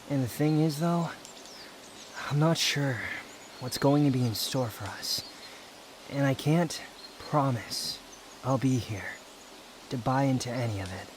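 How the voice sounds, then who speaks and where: Slow-talking aloof young wanderer, acted by a man. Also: mid-range voice, animated, background noise. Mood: brooding, guarded. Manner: slow, brooding, haughty delivery with sighs and pauses. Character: aloof, proud young male wanderer, guarded yet teasing and secretly fond. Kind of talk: intimate roleplay ASMR audio drama. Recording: outdoor nature ambience with insects. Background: insect and nature ambience.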